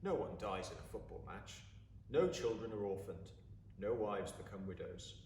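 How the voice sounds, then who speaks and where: Man as thoughtful German officer, polite, earnest, lively intonation, storybook dialogue, distant mic. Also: fairly low pitch, normal speed, creaky. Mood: solemn, warm. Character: warm, courteous German officer, thoughtful cellist. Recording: roomy, distant mic, faint background noise.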